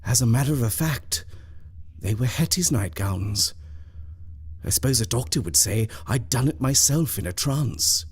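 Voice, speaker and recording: A jittery haunted husband whose voice rises above the storyteller's usual low register, as a man puts him on. He sounds tense and clipped, an uneasy man in a storybook ghost tale. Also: expressive, a little slow, husky. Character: anxious married man haunted at night. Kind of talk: storybook character voice.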